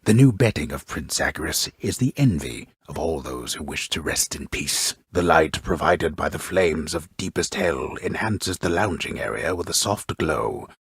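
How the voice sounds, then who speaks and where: An actor playing a solemn, wry storyteller of underworld myths in a video game, with grandiose, measured delivery and a gravelly, husky voice. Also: male voice, very deep voice, animated, no echo, studio quality, full character voice. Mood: solemn, dryly wry. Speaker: storyteller narrator. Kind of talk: scripted dramatic video game narration.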